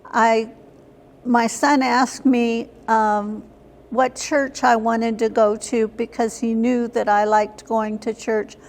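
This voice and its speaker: An older woman giving plain, matter-of-fact conversational answers as an interview guest, sometimes halting. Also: on the high side, flat delivery. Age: older, senior. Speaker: interview guest, senior center member.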